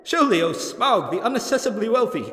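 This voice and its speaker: Man voicing a small male burglar, high swooping voice, echoey, polite, sly, wary flatterer, theatrical fantasy audio drama. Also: fast talker, heavily acted. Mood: bold defiance. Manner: courtly, flattering, theatrical delivery. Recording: echoing cavern reverb effect.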